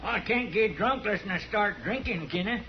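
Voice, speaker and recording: An actor playing a stubborn old prairie drifter with hesitant, folksy frontier speech in a 1950s radio Western. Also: male voice, high-pitched, expressive, moderate pace. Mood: grimly determined. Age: older. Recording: dull, noisy 1950s radio recording.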